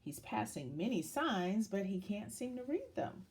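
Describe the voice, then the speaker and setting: A woman reading a children's picture book aloud in a dramatic, deliberate storytelling manner, as if to young listeners. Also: mid-range voice, sing-song, a little mellow.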